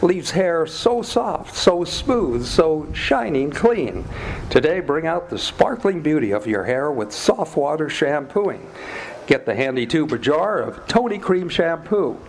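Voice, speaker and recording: A man's voice: on the high side, sing-song, unhurried, a little hiss or hum. A man announcing in a 1940s radio commercial style, with a polished salesman's delivery. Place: live radio drama stage performance in a café.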